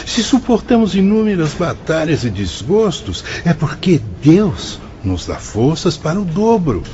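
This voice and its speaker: A man's voice: on the high side, darkish tone, slight background noise. A solemn fatherly spirit guide, sweeping intonation. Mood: compassionate, fervent. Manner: declamatory, measured, fatherly. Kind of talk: radio drama acting. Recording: dull radio drama, music underneath.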